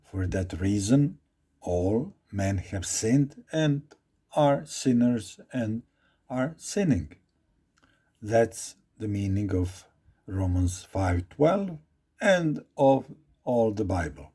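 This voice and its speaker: A man's voice: fairly low pitch, big pitch swings, slow pace, warm tone, breathy edge. A man with a Croatian accent, a native Croatian speaker, giving an informal Bible study talk, reading verses aloud and repeating phrases as he explains them. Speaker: Bible teacher.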